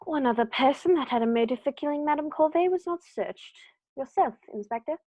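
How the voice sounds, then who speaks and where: A woman's voice: high voice, a little fast, a little mellow, close to an everyday voice, poor audio. A woman playing a confident, incisive detective, courteous and precise, in an amateur radio play. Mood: accusing, incisive. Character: confident, incisive male detective on trial, politely accusing.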